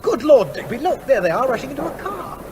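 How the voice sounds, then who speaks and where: High-pitched, blustery English Major. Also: male voice, sing-song, quick pace. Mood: excited. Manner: hearty, exclamatory, grumbling. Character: blustery, excitable male Major who distrusts lawyers. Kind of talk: British comic radio drama acting. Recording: vehicle and outdoor noise.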